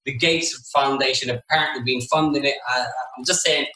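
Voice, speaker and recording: Bright-voiced man in an echoey room. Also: mid-range voice, steady tone, brisk. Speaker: podcast co-host. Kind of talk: casual argumentative chat. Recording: roomy, echoey room sound.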